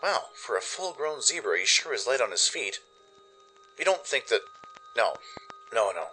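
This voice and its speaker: A man's voice: medium pitch, sing-song, normal speed, pushed and bright, faint music behind, low-quality recording. A man voicing an anxious, earnest young pony heroine in an audio drama, talking to herself in nervous self-doubt. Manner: nervous, self-reassuring inner monologue. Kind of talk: first-person audio drama narration.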